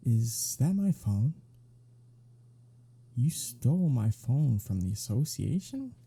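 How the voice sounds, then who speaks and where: A man playing a husky, sardonic vampire. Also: mid-range voice, sing-song, slow pace, mellow, heavily acted. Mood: dry sarcasm. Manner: sarcastic drawl, teasing and taunting. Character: sardonic male vampire, centuries old, smug, teasing, grudgingly fond. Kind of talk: ASMR roleplay monologue, close and intimate.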